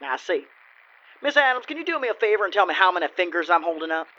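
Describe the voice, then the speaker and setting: An actor playing a smug, folksy country lawyer in a comic radio play, with theatrical courtroom patter. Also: male voice, sits high, sing-song, quick pace, sharp tone, muffled recording, heavily acted. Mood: showboating. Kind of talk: comedic old-time radio play acting.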